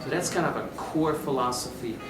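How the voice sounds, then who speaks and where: A man's voice: mid-range voice, brisk. A man from Austria answering as an executive guest in a conversational interview, explaining emphatically and often checking for agreement. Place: conference venue. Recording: echoey, distant mic, loud music behind.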